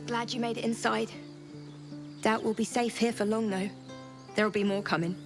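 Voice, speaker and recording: A woman's voice: a little high, steady tone, moderate pace, over music, natural voice. An actress playing a wary, plain-spoken woman survivor in a fantasy video game, frank, pragmatic and guarded. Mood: worried, pragmatic. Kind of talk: video game character acting.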